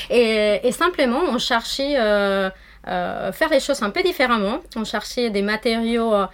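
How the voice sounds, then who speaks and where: A woman's voice: a little high, lively intonation, measured pace, fairly bright tone. A woman in her forties from Argentina with an Argentine accent, a brand founder speaking in a casual interview, hesitant and full of fillers. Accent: Argentine Spanish.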